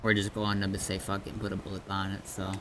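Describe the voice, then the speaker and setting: A man streaming a game as an admin, chatting casually in a halting, explanatory way with some swearing. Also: sits low, monotone, background noise.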